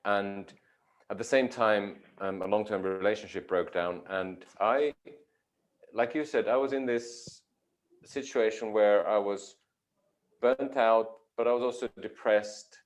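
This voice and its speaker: Man, very low voice, reflective guest, measured with pauses. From Finland. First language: Finnish. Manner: measured, thoughtful, with frequent pauses and fillers. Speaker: guest, yoga teacher. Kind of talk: reflective interview answers.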